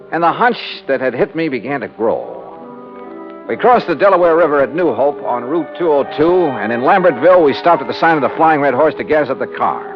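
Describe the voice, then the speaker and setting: An actor playing a wry, brisk insurance investigator who narrates in the first person, in an old radio drama. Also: male voice, on the high side. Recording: dull old radio broadcast with music.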